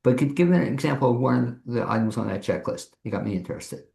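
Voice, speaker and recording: A man interviews guests in a relaxed conversational format as the host. His voice is medium in pitch with plenty of gravelly creak. The sound is roomy, with noticeable echo around his words. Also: fast talker.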